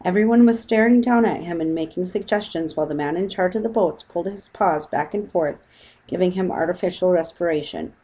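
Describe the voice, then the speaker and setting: A woman narrates a children's storybook. Her voice sits a little low as she reads the scripted tale. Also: fairly quick, mellow, breathy edge, low-quality recording. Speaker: audiobook narrator.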